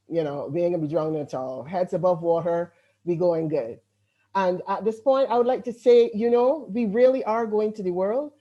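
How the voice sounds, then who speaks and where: A woman's voice: on the low side, warm tone, lo-fi sound. A woman with a Barbadian accent hosting an online poetry event, chatting informally and introducing each guest. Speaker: event host.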